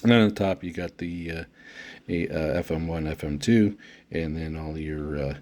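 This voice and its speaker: A man giving unscripted unboxing commentary as a product reviewer, speaking haltingly with frequent pauses mid-phrase. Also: extremely low pitch, darkish tone.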